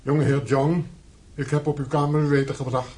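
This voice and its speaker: Guy acting polite formal servant, highish voice, slight hiss, old radio play. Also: flat delivery, brisk, lightly acted. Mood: deferential. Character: formal, deferential manservant of a gentry house.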